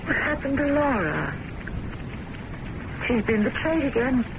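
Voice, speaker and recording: An actress playing a betrayed, neurotic spinster in an old radio drama, accusing and intensely melodramatic. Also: female voice, sits high, big pitch swings, unhurried, vocal fry, highs cut off, full character voice. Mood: wounded, accusing. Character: betrayed, neurotic spinster patient turning murderous. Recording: noisy vintage radio broadcast.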